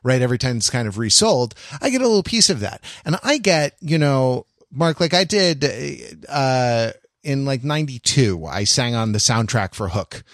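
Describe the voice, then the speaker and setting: A man co-hosting a podcast, explaining his thoughts in a halting way with many restarts. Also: medium pitch, expressive, dry recording, clean studio sound. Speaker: podcast co-host. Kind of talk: casual chat.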